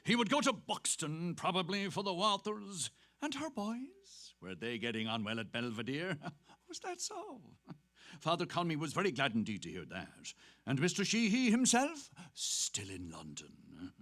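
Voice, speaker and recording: An actor playing a genial, courtly, chatty priest, musing and effusive in a dramatic stage reading. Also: male voice, on the high side, wildly swooping pitch, unhurried, fairly bright tone, full character voice. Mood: effusive, polite.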